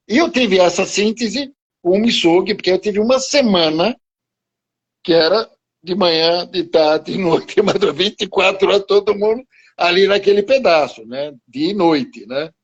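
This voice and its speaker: A man in his seventies reminiscing slowly in conversation, halting and restarting his phrases. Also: high-pitched, lively intonation, slightly rough, lo-fi sound. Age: 70s. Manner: slow, halting, with many restarts. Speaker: guest martial arts teacher.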